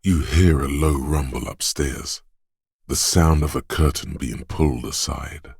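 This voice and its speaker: A man narrating a video game as a grave inner voice of the senses, measured, deliberate and ominous. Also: extremely low pitch, creaky, a little mellow, slightly rough, no room sound, clean studio sound, exaggerated voice. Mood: measured, eerie. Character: solemn male inner voice of heightened senses, ominous and watchful. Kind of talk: atmospheric second-person scripted narration.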